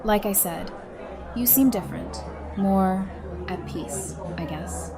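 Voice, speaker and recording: A woman's voice: medium pitch, takes it slowly, vocal fry. Candid, wry woman acted by a woman. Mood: warm, probing. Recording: party music and crowd behind.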